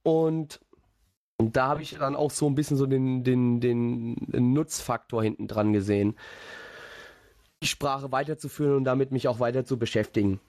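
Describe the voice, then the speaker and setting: A man's voice: mid-range voice, fairly even intonation, moderate pace, a little mellow, no room sound, studio quality. A young man rambling through a personal story in casual podcast chat, with many fillers and restarts. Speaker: podcast host.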